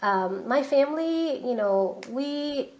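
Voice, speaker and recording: A woman's voice: high-pitched, animated, takes it slowly, a little mellow. A woman in her thirties from Nepal, speaking as an interview guest, chatty and full of fillers and restarts. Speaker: guest, startup founder. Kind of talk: casual interview talk.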